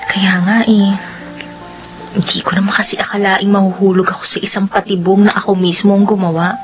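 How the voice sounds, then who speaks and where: Radio drama lead: actress as a dutiful young woman, torn and earnest, medium pitch, plaintive delivery, music bed. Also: level tone, brisk, muffled recording, close to an everyday voice. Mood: wistful. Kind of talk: melodramatic radio drama acting.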